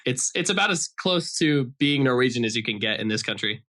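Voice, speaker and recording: A man's voice: mid-range voice, expressive, a little fast, a bit bright. An American man explaining things casually as a guest in a conversational podcast interview, often saying "like". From Idaho, United States. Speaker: interview guest, software developer.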